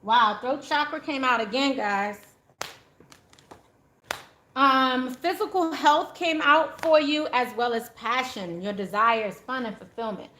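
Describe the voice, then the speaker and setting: A woman's voice: sits high, slow, sharp tone, reverberant, a little hiss or hum. A woman giving a casual, chatty tarot reading to her viewers, laughing often as she goes. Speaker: tarot reader.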